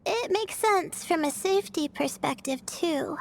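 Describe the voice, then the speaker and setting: A woman voicing a gentle, self-doubting young female student in a video game, hesitant and apologetic. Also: very high-pitched, expressive, a little slow, vocal fry, a little hiss or hum, exaggerated voice. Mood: thoughtful. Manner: hesitant, apologetic, trailing and stammering. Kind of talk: English video game voice acting. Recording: faint music behind the voice.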